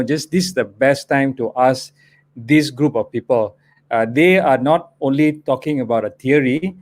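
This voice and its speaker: A man hosting a live webinar, encouraging and full of "you know" fillers. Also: medium pitch. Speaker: host.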